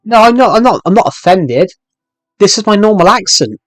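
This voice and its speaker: A man in his twenties with a thick British accent talks through a live game stream, tripping over his own sentences as he answers viewer questions. His voice sits slightly higher than most men's. The sound is dry and close, with no room echo. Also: animated, fairly quick, soft background music. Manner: rambling, restarts sentences while answering chat questions. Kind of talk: casual live stream chat.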